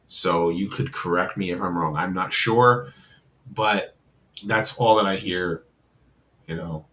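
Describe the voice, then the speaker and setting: A man with a somewhat low voice, chatting casually to his livestream audience. He rambles, restarts his sentences and fills the gaps with constant filler words, speaking into a computer mic. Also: pushed and bright. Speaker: livestream host.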